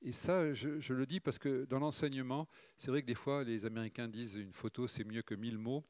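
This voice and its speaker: A middle-aged man presents slides to an audience at a conference talk. He speaks in a measured, thoughtful way and often pauses on fillers as he looks for words. His voice sits in a middle register and sounds dry and close, with little room echo. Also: mid-range voice, steady tone, a little mellow. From Morocco, raised in Provence. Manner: measured, hesitant with frequent "euh" fillers.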